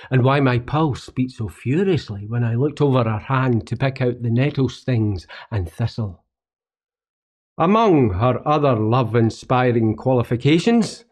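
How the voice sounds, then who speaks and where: A man's voice: medium pitch, a little mellow. A man with a Scottish accent playing a poet who looks back wryly on his youth in a literary radio play monologue.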